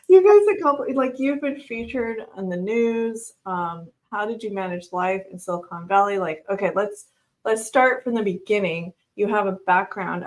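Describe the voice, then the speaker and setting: A woman hosts a live interview show in a bubbly, effusive way. Her voice sits a touch higher than most. She sounds like she is on a video call. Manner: bubbly and effusive, laughs often. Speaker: host.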